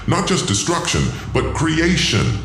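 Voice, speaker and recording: A man's voice: fairly high pitch, huge pitch swings, unhurried, a bit bright, voice pushed up, heavily acted. A man voicing a cruel, grandiose alien warlord in an audio drama, commanding, theatrical and gloating. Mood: commanding, eager. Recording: echoing voice over music.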